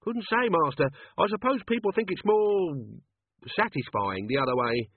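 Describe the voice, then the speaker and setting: A man's voice: sits high, very animated, normal speed, slightly rough, no room sound, voice pushed up, heavily acted. A man voicing a nervous, wheedling old male manservant who keeps calling his employer master. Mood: fretful. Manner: nervous, pleading, says Master often. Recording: tinny lo-fi audio.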